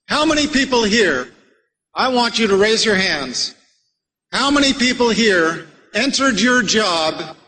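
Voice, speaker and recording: A man raised in America giving an Islamic lecture to a live audience, measured and rhetorical, putting questions to the listeners. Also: sits high, sharp tone. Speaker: Islamic preacher. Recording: long hall reverb.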